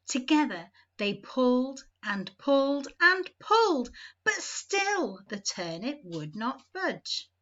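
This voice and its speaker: A woman reads a children's story with a very lively, swooping delivery. Her voice sits fairly high and she takes her time, leaning on key words for young listeners. The recording is slightly dull and lo-fi. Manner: deliberate, emphatic, repetitive build-up phrasing for young listeners. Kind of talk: animated children's storybook read-aloud.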